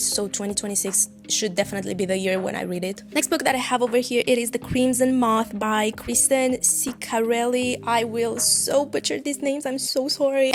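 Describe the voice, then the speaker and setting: A young woman from Eastern Europe talks in a slightly high voice, chatty and rambling, and pokes a little fun at herself. English is not her first language, and she chats straight to the camera from a room lined with books. Music plays clearly under her voice. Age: 20s. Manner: chatty, rambling, self-deprecating. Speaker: book vlogger. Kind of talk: casual chat to camera.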